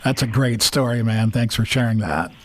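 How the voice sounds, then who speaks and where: A man's voice: medium pitch, moderate pace, a bit bright. Man, radio host, upbeat, studio interview, introduces guest.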